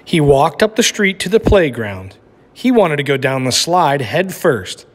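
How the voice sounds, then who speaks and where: Animated male teacher in an echoey room. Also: on the high side, a little slow. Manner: instructive, checks in with listeners. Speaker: teacher reading aloud. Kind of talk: lesson and storybook narration. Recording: echoey room with long reverb.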